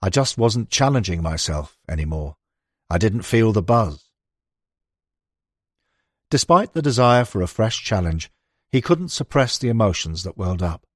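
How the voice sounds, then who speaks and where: A man narrates a non-fiction book about sport from a script. His voice sits fairly low and has a clearly husky grain. The sound is very dry, with no room around it. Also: big pitch swings, normal speed, pauses often, no room sound. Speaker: audiobook narrator.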